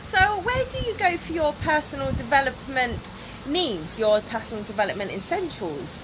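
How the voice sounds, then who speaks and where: A woman's voice: sits high, big pitch swings, moderate pace, background noise. A woman who works as a confidence coach hosts a live social media interview, bubbly and enthusiastic with her guest.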